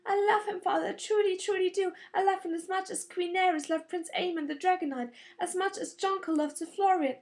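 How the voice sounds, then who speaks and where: High-pitched young noble girl, played by a woman, petulant, haughty, pleading, dramatic, audiobook fantasy. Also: a little fast, few pauses. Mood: insistent, upset.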